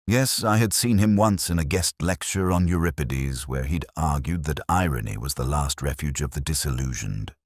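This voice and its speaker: A man playing a melancholy middle-aged English police detective, narrating in a measured, literary and wistful way as if from a notebook. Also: extremely low pitch, lively intonation, dry recording, studio quality. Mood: wry melancholy. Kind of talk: scripted first-person dramatic narration.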